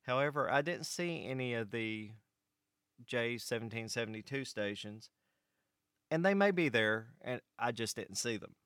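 An American man with a clear, smooth, mid-pitched voice, recorded dry and close. He tells a personal story to listeners as a host, setting it out in measured, short phrases. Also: lively intonation, a bit bright, no room sound. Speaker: vlog host and electric cooperative employee. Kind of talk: first-person anecdotal monologue to an audience.

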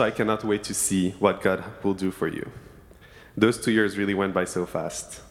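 A young man from Senegal speaks in a fairly low voice, giving an earnest, measured testimony. The sound carries the long reverb of a church sanctuary. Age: young adult. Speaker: student speaker in church service. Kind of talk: prepared testimony.

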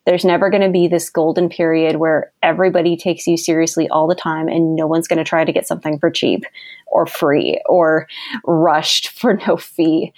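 A woman's voice: deep voice, level tone, normal speed, a little mellow, no echo. Bubbly young woman, laughing often. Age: young adult. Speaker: guest copywriter. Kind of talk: conversational podcast interview.

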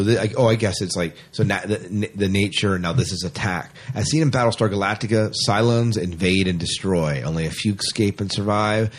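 A man's voice: lowish voice, a little hiss or hum. A man hosting a casual sci-fi podcast, chatting in an enthusiastic way. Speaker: podcast host.

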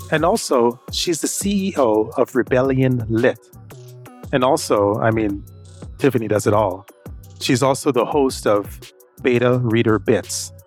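A man hosting a podcast, introducing and interviewing a guest. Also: medium pitch. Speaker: podcast host.

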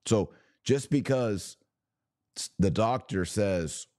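A deep-voiced American man speaks in a very dry acoustic. He delivers religious commentary as a podcast host, unscripted and impassioned. His delivery is preachy and emphatic, built on short, punchy phrases. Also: animated, slow pace, a little mellow, dry recording. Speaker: podcast host taking viewer questions.

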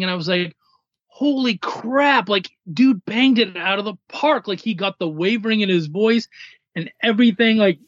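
A man's voice: high voice, no room sound, dull audio. A man talking casually and enthusiastically as a writer guesting on a podcast. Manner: enthusiastic, informal. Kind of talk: casual chat.

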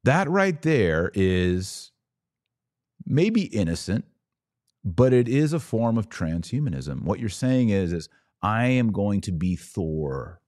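A man's voice: lowish voice, very animated, slow, a little mellow, no room sound, clean studio sound. A middle-aged man hosting a podcast, delivering religious commentary in an emphatic, preachy yet conversational way. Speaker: podcast host.

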